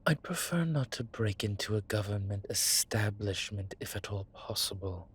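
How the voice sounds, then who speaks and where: A man playing a suave, sentimental vampire in a scripted audio roleplay, speaking in measured, wry, formal phrases with a husky, breathy voice. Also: lowish voice, expressive, a bit bright, slight background noise. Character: suave, sentimental male vampire, tired and scheming. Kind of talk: scripted intimate audio roleplay, one side of a conversation.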